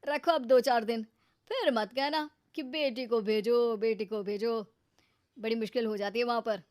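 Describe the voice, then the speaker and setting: Lady doing a smug cartoon son in law guy, high pitched, boasting and taunting. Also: steady tone, moderate pace, darkish tone, lightly acted. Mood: mocking, dismissive. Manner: mocking, self-important. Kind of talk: Hindi cartoon moral-story dialogue.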